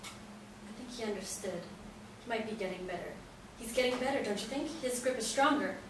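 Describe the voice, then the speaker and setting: A woman playing an upset, hopeful elderly mother who quietly pleads and insists in a stage play reading. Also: fairly high pitch, a little fast, lightly acted. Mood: upset, pleading. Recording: very echoey hall, distant, noisy.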